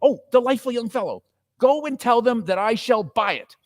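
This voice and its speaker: Man acting elderly reformed miser, high voice, swooping intonation, exuberant, theatrical storybook reading. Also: lively intonation, moderate pace, dry recording, studio quality. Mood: giddy delight. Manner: exclamatory, exuberant, chuckling old gentleman. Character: elderly miser, newly reformed, giddy with joy.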